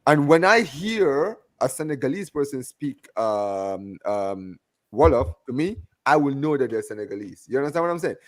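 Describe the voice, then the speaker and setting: A man's voice: mid-range voice, normal speed. A man with a strong Gambian English accent, hosting a casual call-in chat and often repeating himself. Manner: animated, repeats phrases, often asks "you understand what I'm saying?". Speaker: host of a fan call-in show.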